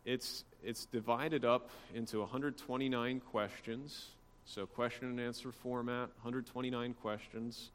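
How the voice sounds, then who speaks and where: A man giving an informal church history lecture, explaining things conversationally with casual asides. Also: on the low side. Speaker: church teacher. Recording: long hall reverb, faint background noise.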